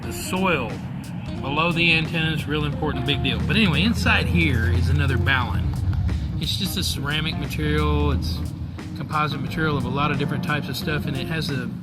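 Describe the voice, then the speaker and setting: A man's voice: on the high side, a little fast. A man talking casually as a radio hobbyist and mentor, explaining in a folksy, wandering way. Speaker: ham radio operator and mentor. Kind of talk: casual explanatory vlog talk. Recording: loud music behind the voice. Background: loud music.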